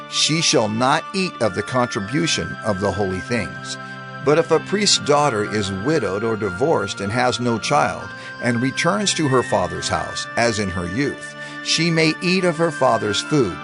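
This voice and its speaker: A man narrating scripture in a measured, formal reading style. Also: medium pitch, creaky. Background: music.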